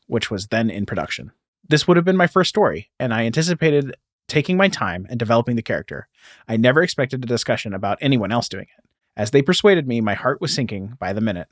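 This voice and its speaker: Male podcast co-host, mid-pitched, explanatory and conversational, self-correcting, very dry sound. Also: very animated, fast talker, no room sound. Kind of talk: casual explanatory chat with some reading aloud.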